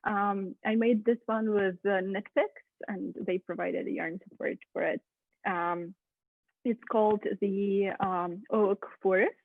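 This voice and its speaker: A woman's voice: mid-range voice, steady tone, measured pace, vocal fry, dry recording. A woman, a guest knitwear designer chatting casually, full of ums and uhs. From Ukraine. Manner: chatty, many ums and uhs, laughs often. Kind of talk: casual interview chat. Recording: tinny phone-like video call.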